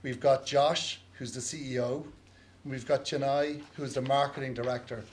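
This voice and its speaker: A man's voice: mid-range voice, fairly even intonation, moderate pace. A man with an Irish accent introducing a conference panel in a chatty, lightly joking way, with frequent ums. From Ireland. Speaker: session moderator. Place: conference hall.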